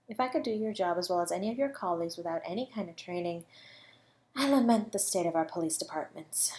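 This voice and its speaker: A woman playing a suave, flirty academic suspected of murder in an audio roleplay, teasing and condescending, drawing out her words with breathy asides. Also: fairly low pitch, a little mellow, close to an everyday voice. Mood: smug, taunting. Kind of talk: scripted audio roleplay monologue.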